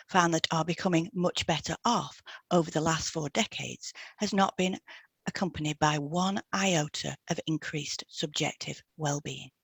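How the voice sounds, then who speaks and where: A woman's voice: low voice, creaky, breathy edge, no room sound. A woman reading a scripted educational piece aloud as a podcast co-host.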